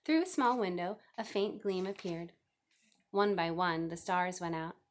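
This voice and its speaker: A woman's voice: fairly low pitch, unhurried. A woman reading a children's novel aloud as scripted narration. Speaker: storybook reader.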